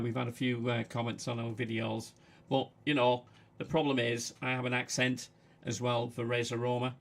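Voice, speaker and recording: A man with a Manchester accent chats casually on a livestream, his voice gravelly and fairly flat. He stops and starts, filling gaps with "uh" as he talks. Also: medium pitch. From England. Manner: halting, frequent "uh" fillers. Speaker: livestream host.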